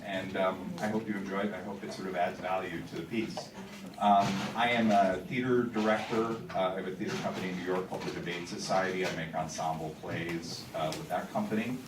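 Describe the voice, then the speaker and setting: A man, a theater director, chatting loosely to an audience before a show, with many fillers and restarts. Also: sits low. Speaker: theater director giving a pre-show talk. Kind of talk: casual pre-show talk to an audience. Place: theater auditorium. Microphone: held microphone heard from a distance. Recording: echoey theater, far from the mic, noisy.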